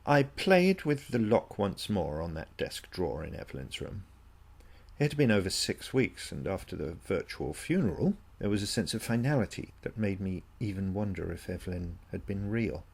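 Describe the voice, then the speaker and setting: A man narrating a first-person story from a script in a measured, literary way. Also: low-pitched, sing-song, vocal fry, husky.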